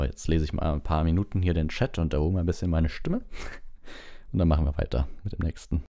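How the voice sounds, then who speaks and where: A man reading an audiobook and hosting a livestream, with a relaxed, informal way of talking. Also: rumbling low. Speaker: audiobook reader, livestream host. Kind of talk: narration and casual livestream chat.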